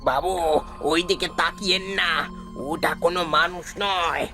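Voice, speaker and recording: A man's voice: on the high side, steady tone, slow pace, pushed and bright, faint music behind, heavily acted. A man voicing an eerie old ghostly cart driver. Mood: ominous. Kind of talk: cartoon voice acting.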